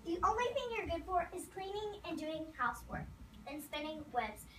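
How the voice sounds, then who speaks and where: A woman's voice: very high voice, lively intonation, normal speed. A woman playing a vain, bossy young ladybug stepsister in a readers theater show, haughty and scornful. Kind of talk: readers theater stage performance. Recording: noisy, echoey hall from far off.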